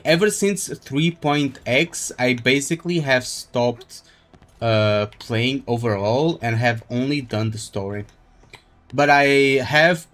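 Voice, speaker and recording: A man live streaming a game, chatting casually in a halting, rambling way as he thinks aloud. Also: mid-range voice. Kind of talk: casual gaming stream chat.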